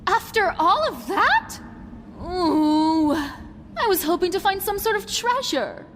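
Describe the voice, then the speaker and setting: A woman's voice: very high-pitched, sing-song, slow pace, background noise, heavily acted. A woman playing a vain, carefree young woman in an audio drama, with a breezy, teasing, theatrical delivery. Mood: let down.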